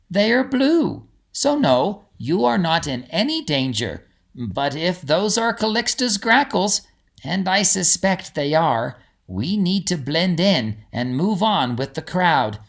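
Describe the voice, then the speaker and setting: A man voicing a protective male guide with a measured, careful, reassuring manner and formal wording, in a storybook reading. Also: high voice, vocal fry, pitched above the performer's own voice, heavily acted. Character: calm male guide, protective, forcing a cheerful tone.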